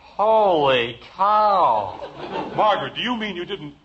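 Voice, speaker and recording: A man's voice: sits high, takes it slowly, heavy background noise, exaggerated voice. An actor playing a harried, exasperated husband and father in a 1950s radio sitcom. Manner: excitable, exasperated. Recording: muffled old radio recording.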